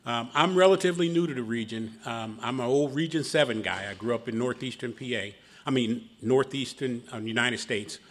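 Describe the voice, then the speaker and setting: A man's voice: mid-range voice, fairly quick, hardly any pauses. A middle-aged man with a Philadelphia accent, giving a folksy, self-deprecating personal testimony to an audience at a recovery meeting. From Philadelphia, Pennsylvania. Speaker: guest speaker at a recovery meeting. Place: meeting room before an audience.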